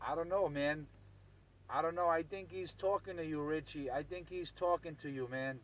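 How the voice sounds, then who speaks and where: A man's voice: fairly high pitch, moderate pace, background noise. A man transmitting as a CB radio operator, bantering casually in slang, teasing and repeating his phrases. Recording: distant CB radio transmission from vehicle.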